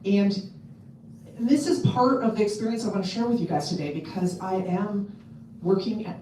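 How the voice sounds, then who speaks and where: A woman with a loud voice giving a casual talk to a convention audience, chatty and full of ums and restarts. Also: mid-range voice, a little hiss or hum. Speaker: convention speaker. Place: convention hall. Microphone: handheld mic on a stand. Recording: echoey hall, mic at a distance.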